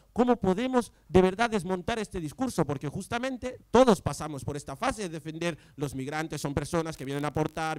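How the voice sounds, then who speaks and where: High-pitched young man speaking on a panel. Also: clean tone, no room sound. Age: young adult. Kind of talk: impassioned political panel talk. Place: panel table in an event tent before an audience. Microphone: handheld mic close to the mouth.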